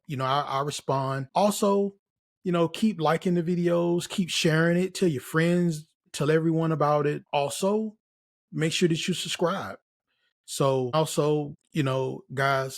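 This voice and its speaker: An American man in middle age with a slightly high voice for a man. He speaks in a casual, motivational way, like a mentor hosting a vlog. His delivery is encouraging and emphatic, circling back to key phrases. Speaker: host, nursing career mentor. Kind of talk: casual motivational advice to camera.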